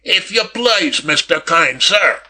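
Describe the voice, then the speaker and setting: A man's voice: on the high side, lively intonation, a little slow, bright, forceful tone, pitched above the performer's own voice, exaggerated voice. A man voicing a nervous, deferential footman in an audiobook, with a quavering, hesitant delivery. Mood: fearful, urgent. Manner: hesitant, obsequious, stammering. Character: nervous, deferential male footman, pleading servant.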